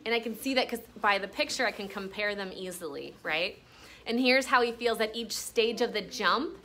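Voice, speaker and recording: Lady teacher doing a classroom read aloud, bright voice kinda high, explains and asks questions, some room noise behind. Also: normal speed. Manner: expressive, instructional, checks in with questions. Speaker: elementary school teacher.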